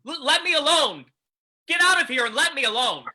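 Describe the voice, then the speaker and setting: Man acting a high-voiced, rattled boozy saloon keeper. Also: animated, a little fast, pushed and bright, exaggerated voice. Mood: rattled, frightened. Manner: stammering, blustering. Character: shifty, hard-drinking saloon keeper rattled by an unseen voice. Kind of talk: old-time radio drama acting. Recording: noisy recording with clicks.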